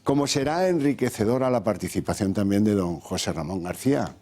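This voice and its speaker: Low-voiced man hosting a political show. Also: animated, fairly quick, warm tone, slight background noise. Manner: ironic, rhetorical questioning. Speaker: talk show host. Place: TV studio.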